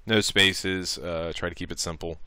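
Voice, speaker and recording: A man giving a casual, improvised software tutorial, talking through each click as he goes. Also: low-pitched, fairly even intonation, moderate pace, smooth, no room sound. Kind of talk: casual step-by-step screen tutorial.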